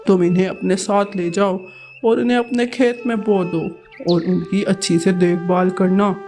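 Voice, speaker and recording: A woman voicing a calm, grateful male vulture who speaks slowly and kindly in a cartoon, with music behind. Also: medium pitch, lightly acted. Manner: slow, measured, kindly. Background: background music.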